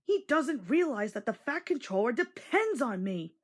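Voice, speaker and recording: A woman's voice: high-pitched, very animated, normal speed, breathy edge, heavily acted. A woman voicing a cheeky, impatient male steam engine in a children's storybook, bossy and sing-song. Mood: cross.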